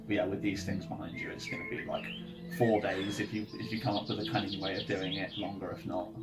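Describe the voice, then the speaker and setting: A man's voice: deep voice, huge pitch swings, fast talker. A man chatting casually as game master of a tabletop game, explaining things informally and making it up as he goes. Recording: music playing underneath. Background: ambient music.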